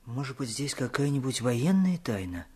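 An actor playing a cautious, thoughtful, bookish boy in a Russian radio play, with a husky voice. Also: medium pitch, very animated, normal speed, darkish tone. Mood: thoughtful, doubtful.

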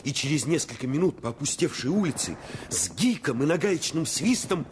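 A man narrating a boyhood adventure in the first person for a radio play, in a measured, literary storytelling manner. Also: a little high, very animated, background noise. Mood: tense, dramatic. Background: music.

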